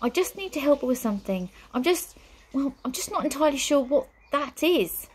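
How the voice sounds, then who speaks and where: A woman's voice: high-pitched, lively intonation, a little fast, voice pushed up. A woman voicing an earnest, slightly defensive young girl adventurer in a children's story. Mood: unsure.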